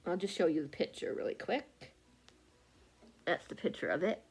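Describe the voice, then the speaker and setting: A woman book vlogger chatting casually and haltingly, often losing her thread and correcting herself. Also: fairly low pitch, big pitch swings, creaky, a little mellow, slightly rough. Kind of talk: casual rambling chat about books.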